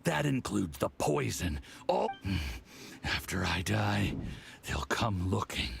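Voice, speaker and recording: A man playing an arrogant, scheming agent with a gravelly, contemptuous delivery in a video game. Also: low-pitched, sing-song, takes it slowly, exaggerated voice. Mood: weakening, defiant. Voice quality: gravelly, creaky. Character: arrogant scheming Fatui agent, poisoned and threatening. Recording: game audio with noise from a livestream.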